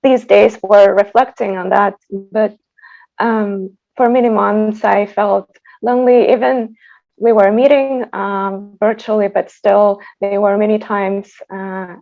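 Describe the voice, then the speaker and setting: A woman who is a native Tatar speaker, giving an informal, reflective introduction as a composer, with halting phrasing, over a video call. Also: medium pitch, gravelly, warm tone, dull audio. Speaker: composer introducing her piece. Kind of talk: informal spoken introduction at an online concert.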